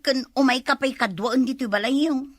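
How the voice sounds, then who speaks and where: A woman's voice: high voice, moderate pace, dry recording. A woman with an Ilocano accent acting a plain-spoken married village woman in a comedy skit. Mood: grumbling, teasing.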